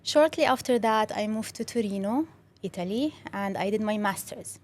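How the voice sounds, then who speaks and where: A woman's voice: mid-range voice, moderate pace, darkish tone. Young Syrian-accented woman, friendly. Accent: Syrian Arabic. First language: Arabic. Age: young adult. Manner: friendly, many fillers and restarts, slips into Arabic fillers. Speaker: interview guest, creative director. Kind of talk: casual interview talk.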